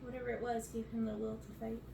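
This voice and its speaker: A young woman narrates a storybook in an ASMR reading, with a fairly high voice. She is some way from the microphone in a roomy bedroom, with clear background noise. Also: level tone, fast. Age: young adult. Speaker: reader on an ASMR channel. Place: bedroom, indoors. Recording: roomy, noisy, distant microphone.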